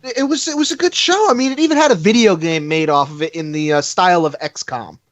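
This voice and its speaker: A podcast co-host chatting casually in an animated, exclamatory way. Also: medium pitch, quick pace, no room sound, lo-fi sound. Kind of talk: casual chat.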